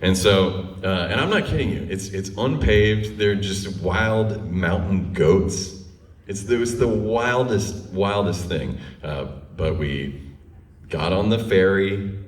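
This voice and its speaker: A low-pitched male storyteller speaks in a roomy, echoing space, picked up from some distance. He recounts a personal tale in a conversational, comic way. His telling is animated, with pauses for effect. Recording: roomy echo, distant microphone.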